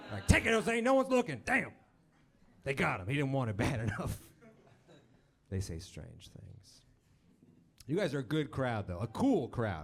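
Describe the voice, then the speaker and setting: A man in his thirties, born in Romania and raised in Texas, doing a dry, self-deprecating stand-up set on a club stage with a handheld mic. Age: 30s. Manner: dry, self-deprecating, mimics people in high voices. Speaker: stand-up comedian. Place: comedy club stage with live audience.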